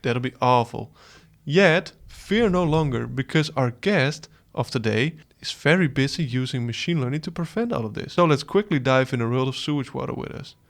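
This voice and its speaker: A man's voice: medium pitch, expressive, moderate pace, gravelly. A man in his twenties co-hosting a student podcast with scripted presenting. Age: 20s.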